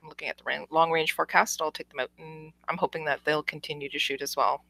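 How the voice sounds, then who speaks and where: A woman speaks in a bright, forward voice that sits a little low. She is chatty and casual, telling stories as a podcast co-host, with a dry, close sound. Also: fairly even intonation, fast, gravelly, no echo, low-quality recording.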